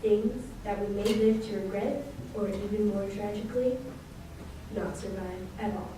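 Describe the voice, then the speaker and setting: A woman's voice: medium pitch, fairly even intonation, normal speed, close to an everyday voice. A female performer playing a solemn narrator of a detective mystery in a scripted old-time radio show. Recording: very echoey hall, distant mic, faint noise.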